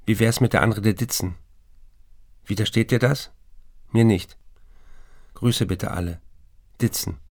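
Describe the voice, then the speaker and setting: Radio play casting: terse, formal estranged husband writing from an asylum, low gravelly voice, dry close sound. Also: male voice, a little slow, pauses often, a little mellow, dry recording. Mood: sober, restrained. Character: alcoholic writer husband in an asylum, terse, conciliatory. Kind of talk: radio drama letter reading.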